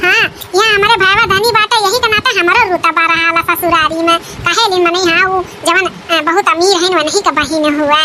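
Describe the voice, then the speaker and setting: Very squeaky, boastful village wife. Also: female voice, moderate pace, few pauses. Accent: Awadhi. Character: boastful, greedy village wife in an Awadhi comedy cartoon. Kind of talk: exaggerated cartoon comedy dialogue. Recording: loud background noise, rumble, clicks.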